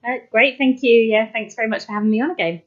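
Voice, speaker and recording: A woman guest in an interview, answering politely and briskly. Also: on the high side, fairly even intonation, highs cut off.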